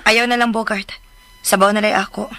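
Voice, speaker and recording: A woman's voice: mid-range voice, level tone, normal speed, sharp tone, a little hiss or hum. A woman acting in a radio drama as a weary, regretful young mother, with an emotive, sighing delivery. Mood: bitter regret.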